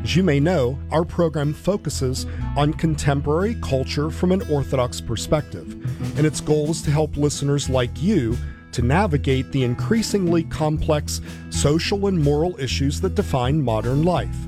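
A man, a priest and radio host, reading a measured, earnest donation appeal for his station. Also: fairly high pitch. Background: guitar music.

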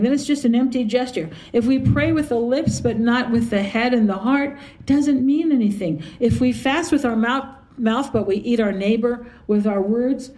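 Higher-voiced woman teaching about faith. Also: darkish tone, poor audio. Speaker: theology professor and podcast host. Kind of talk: informal religious teaching talk.

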